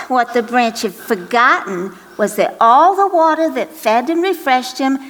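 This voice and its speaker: Woman, very high voice, slow pace, expressive theatrical storyteller, children's parable, playful asides.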